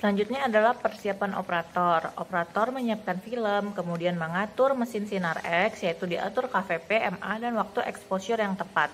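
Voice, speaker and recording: A woman's voice: medium pitch, brisk, few pauses, a little hiss or hum. A young adult woman, reading scripted instructional narration as a student presenter.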